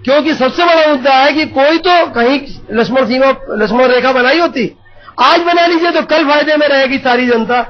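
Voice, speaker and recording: A man's voice: very high-pitched, normal speed. A middle-aged man answering on a live TV panel in an earnest, rambling, aggrieved way. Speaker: panel guest. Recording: dull, muffled remote TV audio.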